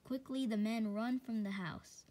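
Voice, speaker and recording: A woman reading a children's fairy tale aloud, slightly halting, sometimes repeating words. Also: fairly high pitch, steady tone, warm tone.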